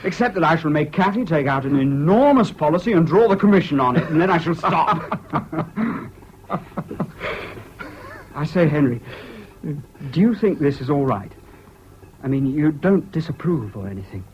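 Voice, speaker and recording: Troubled young Englishman played by a man, lightish pitch, excitable yet unsure, for a hissy vintage radio play. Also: moderate pace, pauses often, lightly acted. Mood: elated. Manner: hesitant, earnest, swinging between gloom and giddy excitement. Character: troubled, boyish, excitable but self-doubting young man. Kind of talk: British radio drama acting. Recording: dull, hissy old radio recording.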